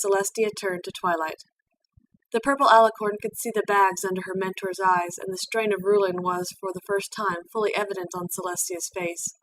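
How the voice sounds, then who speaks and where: A woman reads a story aloud in an expressive, homemade storytelling style. Her voice sits at a middle pitch. She is a little way from the microphone, with faint noise behind her. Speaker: fan fiction reader. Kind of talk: expressive amateur storytelling narration. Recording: distant mic with background noise.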